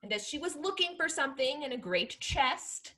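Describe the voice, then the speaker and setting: Woman playing a bold, high-voiced mischievous young lady. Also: expressive, normal speed, pushed and bright. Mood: gleeful boasting. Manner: theatrical, emphatic, archaic diction. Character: bold, mischievous young Spanish gentlewoman, scornful of men. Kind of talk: period stage comedy acting.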